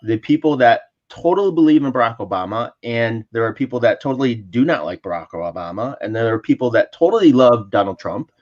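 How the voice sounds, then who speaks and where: A man's voice: mid-range voice, expressive, fairly quick, few pauses, darkish tone, muffled recording. A man co-hosting a casual remote chat who laughs often and repeats words as he searches for them. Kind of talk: casual podcast chat over a call. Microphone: remote call mic.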